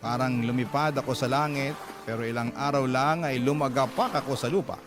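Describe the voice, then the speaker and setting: A man's voice: mid-range voice, a little fast. A man with a Filipino accent narrating a first-person dramatic story as a radio storyteller. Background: music bed.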